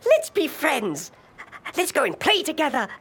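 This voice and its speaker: A man voicing a small, brave, lonely male puppy in a storybook for learners, with a high squeaky cartoon voice. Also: wildly swooping pitch, a little slow, vocal fry, fairly bright tone, heavily acted. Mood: friendly.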